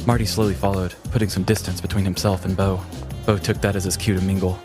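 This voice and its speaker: A man with a low voice narrates a comedic scripted tale. He tells it with dramatic storytelling delivery, and music plays clearly underneath. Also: level tone, fast talker. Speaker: narrator and podcast host.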